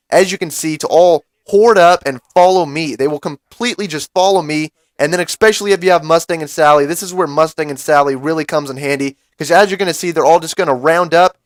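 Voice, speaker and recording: A young man with a raspy voice from a cold, giving casual strategy commentary over gameplay to his viewers. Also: on the high side, no echo. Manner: casual, explanatory, addresses viewers as guys. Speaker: gaming YouTuber.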